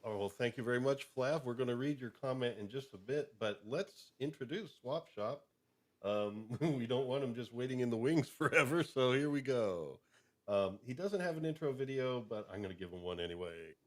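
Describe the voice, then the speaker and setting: A man co-hosting a casual live stream, informal and a little halting as he talks. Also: mid-range voice, lively intonation.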